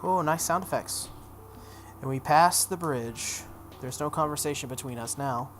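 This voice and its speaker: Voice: medium pitch, normal speed, fairly bright tone, a little hiss or hum. A video game playthrough host reading a story aloud dramatically, with casual offhand asides. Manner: acts out dialogue, breaks off for offhand comments.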